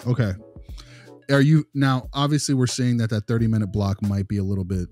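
A man playing a patient behavior consultant who gives feedback in a halting, rambling, reassuring, conversational way. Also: mid-range voice, fairly even intonation, a little mellow, soft background music, lightly acted. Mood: encouraging. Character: male behavior consultant, patient, diplomatic, encouraging. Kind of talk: conversational role-play of a consultation.

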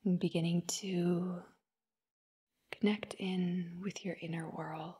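A woman with a mellow, rather low, whispery voice guides a meditation. She speaks very slowly and gently in short phrases, leaving long, soothing pauses between them. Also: fairly even intonation. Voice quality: soft, breathy, whispery.

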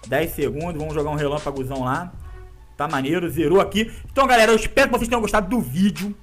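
A man, congested with a cold and sniffling, giving excitable, slang-filled live gameplay commentary with frequent laughs, recorded in a small indoor room. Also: fairly high pitch, quick pace, soft background music. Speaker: gaming YouTuber. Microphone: webcam framing, headphones around neck.

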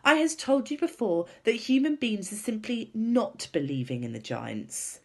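A woman's voice: fairly high pitch, very animated, measured pace, creaky, husky, voice pushed up, full character voice. A woman voicing a big, friendly but stubborn male giant who talks in muddled grammar and invented words for a storybook. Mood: doubting.

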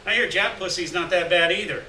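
A man's voice: high voice, fairly quick, sharp tone. A man voicing a rowdy, crude, hard-drinking beatnik drifter in a live story reading, boisterous and slangy. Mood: boisterous, teasing. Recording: roomy, distant mic, faint noise.

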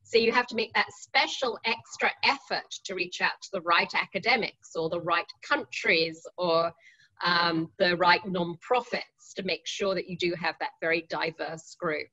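A woman's voice: fairly low pitch, moderate pace, bright, forceful tone. A woman with an English accent speaking as a panelist on a video call, chatty and self-deprecating, often restarting her sentences. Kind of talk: panel discussion. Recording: muffled video call audio.

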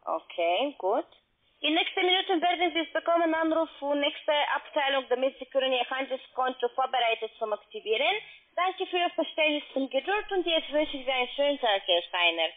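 A woman's voice: high-pitched, expressive, brisk. A woman who is not a native German speaker, running through a scripted call-centre check insistently, in broken grammar, on the telephone. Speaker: scam call centre agent. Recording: tinny narrowband phone line.

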